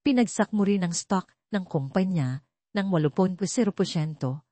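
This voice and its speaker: A blunt male colleague, read by a woman in an audio drama. He speaks plainly in a dark, mellow tone, recorded dry and close. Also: fairly low pitch, animated, normal speed, dry recording. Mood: reproachful. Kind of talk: audio drama storybook reading.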